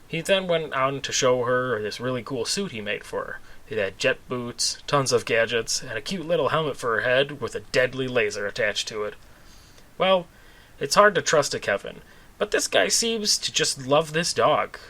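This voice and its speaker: A man tells a comic story with a bright, clear-edged tone. He hosts it conversationally, slipping wry asides into the scripted narration. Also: mid-range voice, animated, normal speed. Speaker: storyteller, host of a story series.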